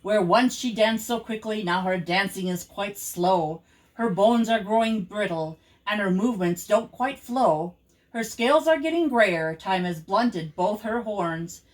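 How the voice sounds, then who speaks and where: An older woman, a grandmother, reading children's rhyming poems aloud in an expressive, rhythmic, theatrical way. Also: medium pitch, measured pace, low-quality recording.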